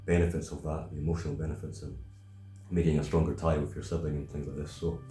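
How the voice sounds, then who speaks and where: Male host, about thirty, extremely deep and soft-toned, halting reflective talk, faint music. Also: level tone. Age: around thirty. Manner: halting, thoughtful, repeats words. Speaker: video host. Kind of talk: reflective casual discussion. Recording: roomy echo, faint music.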